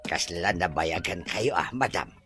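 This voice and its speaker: A man's voice: sits low, a little slow, sharp tone, faint music behind. A man with a Filipino Ilocano accent acting a humble, middle-aged driver in an audio drama, stammering and teasing as he defers to his employer. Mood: sheepish, deferential. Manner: stammering, giggly, full of interjections.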